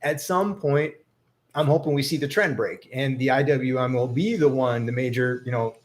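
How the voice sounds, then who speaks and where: A man's voice: mid-range voice, moderate pace. A man casually hosting a live investing chat, rambling and hesitant, with an upbeat tone. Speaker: stock investing livestream host.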